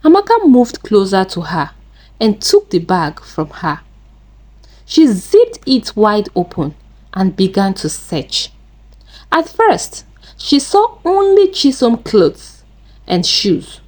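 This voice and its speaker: Slow, expressive woman storyteller. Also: mid-range voice, low-quality recording. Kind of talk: scripted narration of a folktale.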